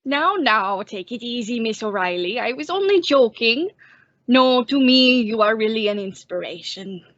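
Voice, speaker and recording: Girl doing old radio comedy professor guy, high voice, fake flattery and digs, kinda dull recording. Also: normal speed, no room sound. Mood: teasing. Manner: mock-flattering, drops teasing insults. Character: teasing old male immigrant musician, mock-courtly professor.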